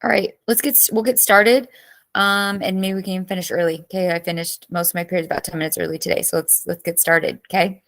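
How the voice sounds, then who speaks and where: A woman's voice: on the low side, fairly even intonation, quick pace, gravelly, fairly bright tone. A woman in her thirties teaching a live online class, chatty and greeting students by name, with her voice cracking as she loses it.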